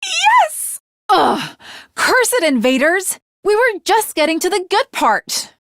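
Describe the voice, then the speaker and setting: A woman's voice: very high-pitched, huge pitch swings, slow, fairly bright tone, no room sound, studio quality, exaggerated voice. A woman voicing an earnest, lovestruck teenage girl swordswoman in an anime video game, with formal, archaic, dramatic diction. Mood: nervous, lovestruck, then indignant, battle-ready.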